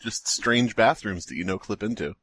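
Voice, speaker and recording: A man co-hosting a podcast with casual, mocking, deadpan comic banter. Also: fairly low pitch, normal speed, husky, no echo. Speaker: podcast co-host.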